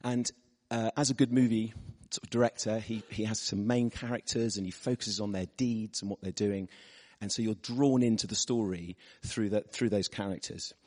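A preacher with a hoarse, husky voice, giving a chatty sermon with personal stories to a church audience. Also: male voice, fairly low pitch, fairly even intonation, normal speed, a little mellow. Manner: chatty, storytelling, asks the audience. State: hoarse from losing his voice. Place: church hall. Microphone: microphone. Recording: reverberant church hall.

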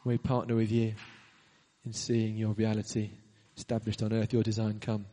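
Man praying in a flat, even tone. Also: on the low side, moderate pace. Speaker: church leader introducing the speaker. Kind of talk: introduction and spoken prayer. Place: church hall. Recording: reverberant church hall.

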